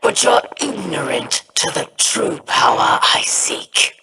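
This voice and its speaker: Scornful power-hungry villain; slow, menacing. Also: mid-range voice, very animated, gravelly, background noise, full character voice.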